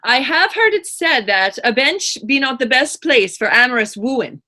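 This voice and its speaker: A woman's voice: sits high, expressive, moderate pace, bright, forceful tone. A woman playing a plainspoken, teasing but compassionate woman in readers theater, speaking frank, folksy dialect. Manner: folksy, frank questioning in archaic Irish dialect. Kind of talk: readers theater dramatic dialogue.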